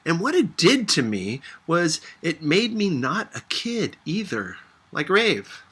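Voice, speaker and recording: A man's voice: on the high side, wildly swooping pitch, slow, a bit bright, husky. A man reading a first-person story aloud in a conversational, reflective manner. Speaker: audiobook reader.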